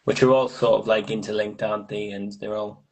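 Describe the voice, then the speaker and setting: A man with a husky, creaky voice talks casually about poetry. His delivery is fairly even and on the low side, thoughtful and hesitant, often checking with a tag question. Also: a little fast, poor audio. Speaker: poet on a reading panel. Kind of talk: casual literary discussion.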